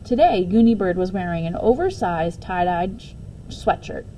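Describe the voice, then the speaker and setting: A woman reading a children's book aloud as a teacher would, in an expressive storytelling style. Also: lowish voice, moderate pace, a little hiss or hum, low-quality recording.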